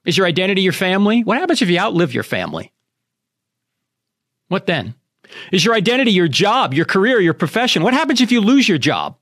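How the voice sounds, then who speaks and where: Animated, high-pitched American man hosting radio. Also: a little fast, dry recording, studio quality. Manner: emphatic, preacherly, addresses listeners directly. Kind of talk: radio monologue with rhetorical questions.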